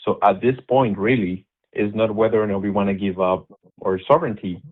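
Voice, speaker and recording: A man from Venezuela argues his case on a call-in show, insistent and talking over the other voices. His lowish voice comes through a narrow, tinny phone line. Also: brisk. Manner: insistent, talks over others, many restarts. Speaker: caller. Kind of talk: heated call-in debate.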